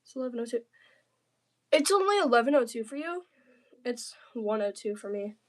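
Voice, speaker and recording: Higher-pitched woman, playful livestream chatter. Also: expressive, moderate pace. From southern United States. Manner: playful, slangy, jokey banter with chat. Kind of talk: casual livestream chat while crafting.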